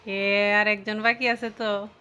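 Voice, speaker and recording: A woman's voice: medium pitch, level tone, slow pace, over a noisy crowd. A woman filming a casual home video, asking her young son questions in a coaxing, curious way. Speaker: parent filming her child.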